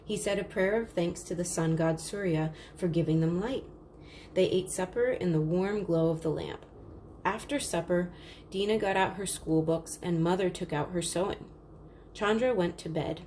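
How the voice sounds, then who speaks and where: Lower-voiced woman reading a storybook, noisy recording. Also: normal speed, darkish tone. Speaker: storybook reader. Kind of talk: scripted children's story read-aloud. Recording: clearly noisy background.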